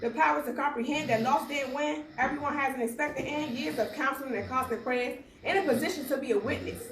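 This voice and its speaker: High-voiced woman reciting poetry, echoey room. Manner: emphatic, declamatory, with deliberate pauses and a repeated refrain. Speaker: poet reciting own poem. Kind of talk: dramatic spoken-word poetry reading. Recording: roomy echo, distant mic, faint noise.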